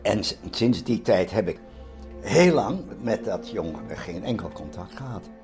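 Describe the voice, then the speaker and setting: An older man with a low voice tells a personal account to an interviewer. He forms his phrases hesitantly and pauses often, with music playing clearly underneath. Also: lively intonation.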